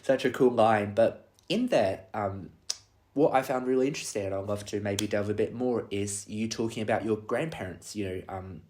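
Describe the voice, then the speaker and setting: A man's voice: on the low side, normal speed, darkish tone. A young man hosting a podcast interview, friendly and chatty, often laughing lightly between questions. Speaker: podcast host. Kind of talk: casual interview.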